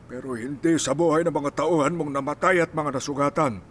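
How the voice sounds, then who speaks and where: A man's voice: a little high, a little fast, a bit bright, a little hiss or hum, natural voice. A man acting in a Filipino radio drama as an aggrieved elderly father, righteous and pleading, with an emotional, scolding manner. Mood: reproachful, dismayed. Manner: scolding, emotional, sighing interjections.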